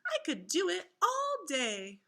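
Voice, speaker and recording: Casting: woman playing a curious little storybook girl, very high and bright, full of eager enthusiasm. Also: huge pitch swings, slow pace, full character voice. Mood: delighted.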